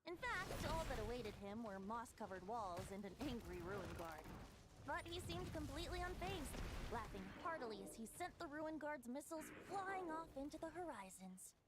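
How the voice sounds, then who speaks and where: A woman's voice: high-pitched, sing-song, normal speed, noisy background. A woman voicing a playful young male bard who laughs easily and loves telling stories. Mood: storytelling.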